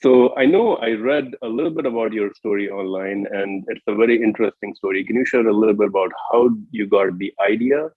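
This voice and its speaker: A man's voice: on the low side, brisk, hardly any pauses, slightly rough, no room sound. A man hosting an interview show, reading a polished intro and then asking friendly questions. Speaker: podcast host. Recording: tinny phone-like line, dry.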